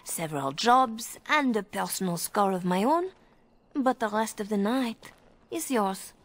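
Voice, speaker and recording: Breathy, seductive spymistress. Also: female voice, mid-range voice, expressive, unhurried. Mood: smug. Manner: teasing, possessive, silky. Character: seductive, commanding female spymaster and mentor. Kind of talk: video game voice acting.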